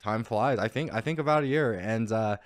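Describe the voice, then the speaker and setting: A man's voice: on the low side, brisk, no echo, clean studio sound. A young man hosting a casual podcast interview, friendly and easygoing with lots of filler words. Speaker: podcast host. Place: media house studio.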